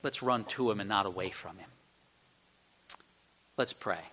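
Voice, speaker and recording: A man's voice: on the low side, a little slow, bright, forceful tone, husky, poor audio. A man preaching an expository sermon as a pastor, speaking earnestly and emphatically, with repeated phrases and rhetorical questions.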